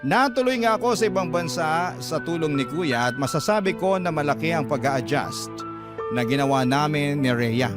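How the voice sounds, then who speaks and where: Male radio storyteller over clear music. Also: a little high, animated, moderate pace. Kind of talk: scripted first-person story narration. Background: music bed.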